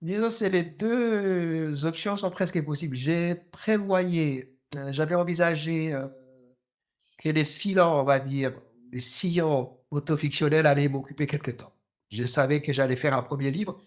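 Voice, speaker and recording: A man in his fifties from Djibouti, a novelist answering interview questions slowly, with many pauses, fillers and restarts. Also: a little high. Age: 50s. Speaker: novelist guest.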